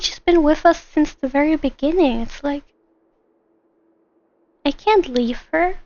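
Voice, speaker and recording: High-pitched woman streaming games. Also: dry recording, highs cut off. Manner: animated, rambling, acts out imagined lines. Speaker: streamer, gamer. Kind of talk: casual live game stream commentary.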